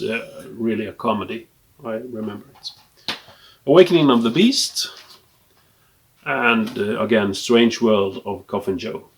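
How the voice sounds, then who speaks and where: Halting Swedish-accented man, casual collector. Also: mid-range voice, a bit bright, a little hiss or hum. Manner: hesitant, halting delivery with trailing asides. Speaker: film collector presenting a collection. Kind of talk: casual unscripted show-and-tell.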